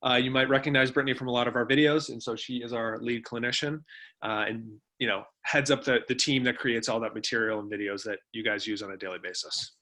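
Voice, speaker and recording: A man's voice: mid-range voice, fairly even intonation, fast, sharp tone. A man giving an informal online presentation, explaining things in a conversational way as the webinar's presenter. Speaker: webinar presenter, company co-founder. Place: remote webinar.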